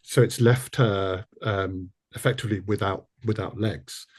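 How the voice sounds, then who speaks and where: A man interviewing as a producer, in a relaxed conversational style with hesitant, searching phrasing. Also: fairly low pitch, unhurried.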